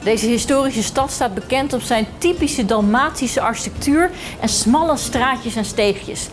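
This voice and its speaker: A woman's voice: fairly high pitch, a little slow. A woman in her thirties presenting a travel show, enthusiastically showing places and holiday homes. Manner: enthusiastic, touring and pointing things out. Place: outdoors in old town streets and holiday homes. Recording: background music under the voice. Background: music.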